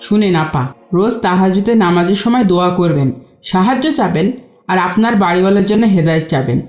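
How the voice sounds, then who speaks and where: A woman's voice: fairly low pitch, quick pace, darkish tone, echoey room, faint music behind, lightly acted. A woman voicing a friendly, pious neighbour who gives advice in a cartoon. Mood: advising. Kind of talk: cartoon voice acting in Bengali.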